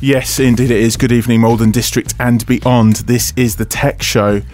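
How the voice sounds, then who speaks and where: A man's voice: medium pitch, steady tone, moderate pace, darkish tone, soft background music. A man hosting a radio tech show in a studio, talking casually, restarting sentences and laughing easily. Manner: chatty, self-interrupting, laughs easily. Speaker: radio and podcast host. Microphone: studio microphone.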